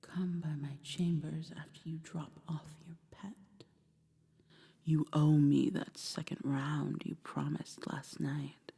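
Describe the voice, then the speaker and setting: A woman voicing a haughty, teasing vampire in a formal, measured, condescending way for an ASMR roleplay. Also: deep voice, little pitch movement, gravelly, mellow, husky, dry recording, exaggerated voice. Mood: cool, teasing. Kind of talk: soft-spoken ASMR roleplay acting.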